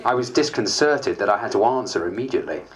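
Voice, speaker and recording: A man's voice: fairly low pitch, lively intonation, fast talker, lightly acted, low-quality recording. A man playing a harried, self-mocking MP, narrating a dramatised diary in a dry, wry way. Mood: rueful. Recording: faint background noise.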